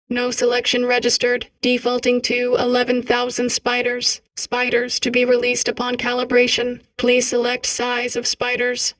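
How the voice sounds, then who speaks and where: A woman voicing a calm automated game system, announcing politely in a deadpan, measured, impassive way. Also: fairly high pitch, dry recording, recorded from a distance, rough recording.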